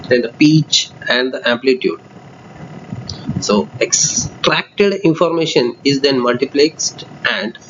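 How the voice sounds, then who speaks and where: A man lecturing through a technical block diagram. He reads textbook-style sentences and then adds loose spoken remarks of his own. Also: mid-range voice. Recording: dull lo-fi recording, faint noise.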